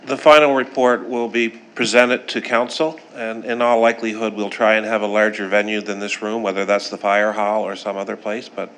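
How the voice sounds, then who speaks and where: Low-voiced official answering calmly. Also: male voice, moderate pace, hardly any pauses, poor audio. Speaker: chief administrative officer. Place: council chamber.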